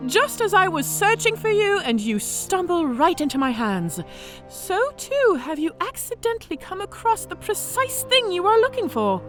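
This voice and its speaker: A woman's voice: very high voice, sing-song, normal speed, a bit bright, soft background music, exaggerated voice. A woman playing a smug female orc centurion in an audio drama, speaking with mock-sweet politeness and cool menace.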